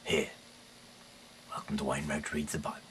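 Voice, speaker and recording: A man with a gravelly voice reading scripture aloud as a host, halting at times and stumbling over words and repeating phrases. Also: a bass voice, flat delivery, fairly bright tone, a little hiss or hum. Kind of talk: read-aloud Bible narration with casual slips.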